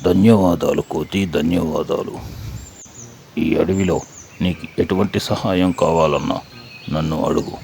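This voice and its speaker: A man plays a proud lion king in a children's puppet show. His voice is very low and gravelly, and he speaks slowly and with weight, as a ruler of the forest would. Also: steady tone, a little mellow, a little hiss or hum. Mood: grateful.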